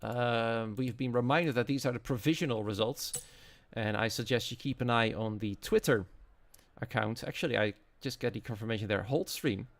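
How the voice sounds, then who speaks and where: A man's voice: medium pitch, moderate pace, vocal fry. A man with a Dutch accent, speaking English as a non-native, giving live commentary as an esports racing commentator. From Netherlands.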